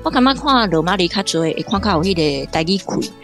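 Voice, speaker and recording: A woman's voice: fairly low pitch, animated, fairly quick, faint music behind. A woman with a Taiwanese accent giving conversational literary commentary in an explanatory way, like a guest academic.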